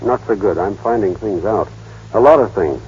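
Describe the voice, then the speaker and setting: A man's voice: lowish voice, animated, normal speed, a little mellow, slightly rough. An actor playing a shy, naive small-town American man in a vintage radio drama, hesitant, boyish and plainspoken. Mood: frank, gentle. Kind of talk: 1930s-40s radio drama acting. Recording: dull, muffled old radio broadcast.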